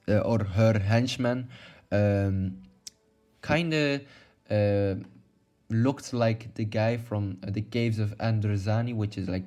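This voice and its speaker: A young man with a Belgian accent talks in a low voice. He rambles through casual commentary, stacking fillers and restarting his sentences as he goes. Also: darkish tone. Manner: rambling, many fillers and restarts, laughs often. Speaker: YouTube commentator. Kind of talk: casual video commentary partly read from notes.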